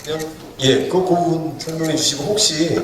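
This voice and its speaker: A man moderating a film festival Q&A, brisk and polite, picking out audience members by row. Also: fairly high pitch, steady tone. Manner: brisk, polite, counting out rows. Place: large cinema hall. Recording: echoey hall audience recording, distant and noisy.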